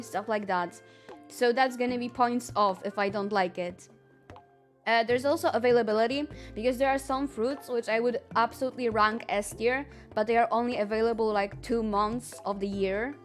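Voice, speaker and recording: A young woman streaming live, chatting casually with viewers, laughing often and talking earnestly about her rankings. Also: fairly high pitch.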